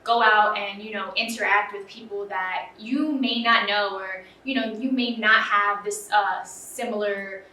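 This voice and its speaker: A woman's voice: mid-range voice, steady tone, a little slow, few pauses, bright, forceful tone, far from the mic. A young woman student answering interview questions in an earnest, casual way, with many "um"s and "you know"s. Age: young adult. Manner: earnest, filler-heavy with frequent "um" and "you know". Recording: roomy, off-mic with faint ambience.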